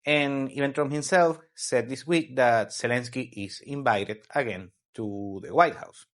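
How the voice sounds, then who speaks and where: A man from Venezuela hosting a weekly news commentary, explaining events in a halting way as he searches for words. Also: medium pitch. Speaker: host.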